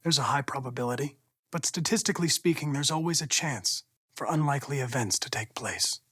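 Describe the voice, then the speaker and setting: A man's voice: mid-range voice, a little fast, bright, forceful tone, breathy edge. A man voicing a polite, coolly logical young android detective in a video game, with formal, precise phrasing. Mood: calm, formal. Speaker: police investigator android.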